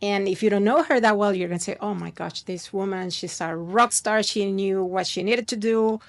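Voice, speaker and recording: Gravelly-voiced woman podcast host. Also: mid-range voice, moderate pace. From born outside the United States. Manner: warm-hearted, many fillers and repeats. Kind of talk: interview podcast hosting.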